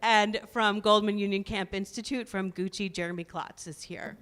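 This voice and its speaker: A woman's voice: medium pitch, fairly even intonation, normal speed, noisy background. A woman welcoming guests and thanking helpers before a worship service, chatty and upbeat with frequent laughs. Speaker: congregation leader welcoming guests. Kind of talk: casual spoken welcome and thanks. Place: synagogue sanctuary. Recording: long hall reverb.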